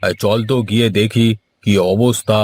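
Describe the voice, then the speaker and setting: A man's voice: fairly low pitch, little pitch movement, normal speed, lightly acted. A man voicing an authoritative village landlord who owns paddy fields, in a cartoon folk tale. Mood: inquiring.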